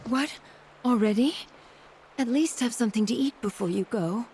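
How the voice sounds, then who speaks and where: Gentle, kindly mother played by a woman. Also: fairly high pitch, moderate pace, a little hiss or hum, close to an everyday voice, poor audio. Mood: hospitable. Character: kindly, hopeful middle-aged mother missing her son. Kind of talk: English-language video game voice acting.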